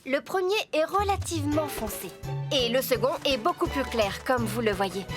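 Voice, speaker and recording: A helpful woman builder from a children's cartoon, polite in her explanations. Her voice is high and lilting widely, with music behind. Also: expressive, moderate pace. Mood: helpful, assured. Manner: polite, presenting options. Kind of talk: dubbed children's cartoon acting.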